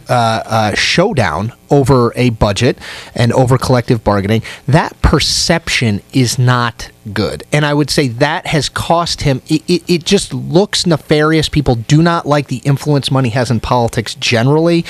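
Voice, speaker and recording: A man's voice: mid-range voice, dry recording. A man giving political analysis as a panelist in a radio discussion.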